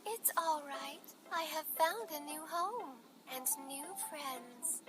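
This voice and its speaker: A woman's voice: high voice, slow pace, over music. A woman playing a sweet, kind, cheerful young princess in a children's cartoon story, girlish and polite. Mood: content.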